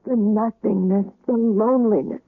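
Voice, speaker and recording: A sad worn-out wife in an old radio play, husky and yearning, thin phone-like sound. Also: female voice, mid-range voice, fairly even intonation. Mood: anguished, pleading. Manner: mournful, yearning, deliberate. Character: weary, despairing wife recovering from heart surgery. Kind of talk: radio drama acting. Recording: roomy, band-limited old radio recording.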